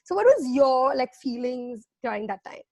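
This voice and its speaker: Bright, high-voiced woman from India hosting. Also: sing-song, moderate pace, dry recording. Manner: bubbly, eager interviewer with fillers. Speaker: co-host. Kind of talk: casual chat.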